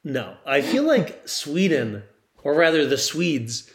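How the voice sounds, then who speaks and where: A man's voice: on the high side, big pitch swings, slow. A young man co-hosting a casual comedic podcast, rambling and joking, often trailing off mid-thought, talking into a handheld microphone. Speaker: podcast co-host. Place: in France, apart from co-host.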